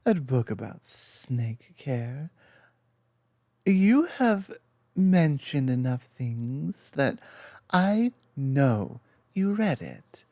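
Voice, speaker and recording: A woman playing an affectionate, teasing lamia girlfriend in an intimate ASMR roleplay, speaking softly and confidently with playful asides. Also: low voice, dry recording, full character voice.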